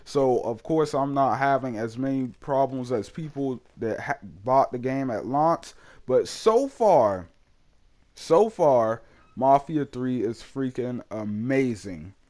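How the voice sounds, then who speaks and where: A young man hosting his gaming channel, reviewing a game in casual, rambling talk full of fillers, his voice a bit hoarse from a cold. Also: medium pitch, a little mellow. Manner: rambling, many fillers and restarts.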